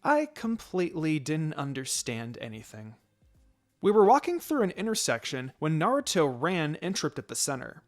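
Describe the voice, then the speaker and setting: A man's voice: a little high, big pitch swings, moderate pace, soft background music. A man reading a first-person fan fiction story as scripted narration.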